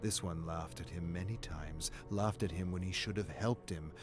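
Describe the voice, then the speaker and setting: A man narrating a dark horror story in a dramatic, scripted style, with a measured, grave and brooding delivery. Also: sits low, over music.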